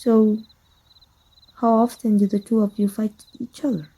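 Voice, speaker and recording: Woman acting a dark, husky, possessive villainess. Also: on the high side, little pitch movement, measured pace. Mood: possessive, coolly menacing. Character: jealous, possessive female villain, teasing and menacing. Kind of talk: ASMR roleplay, intimate monologue to the listener.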